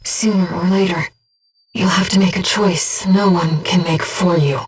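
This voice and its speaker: A woman playing a calm, devoted disembodied companion who guides a pilot in a video game, speaking in a measured, earnest, gently formal way. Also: on the low side, slightly rough, far from the mic. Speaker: mission support companion. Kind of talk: scripted video game voice acting.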